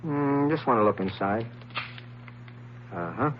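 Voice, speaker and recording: A man's voice: mid-range voice, lively intonation, measured pace, a little hiss or hum, natural voice. An American man playing a wisecracking, cynical private eye in an old-time radio drama, with a slangy, sardonic delivery. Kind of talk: old-time radio detective acting and narration. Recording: dull vintage radio recording with music.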